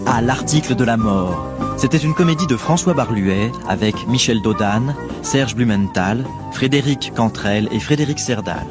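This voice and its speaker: A man's voice: medium pitch, expressive, moderate pace. A man working as a radio announcer, reading scripted closing credits. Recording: loud music under the voice. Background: music.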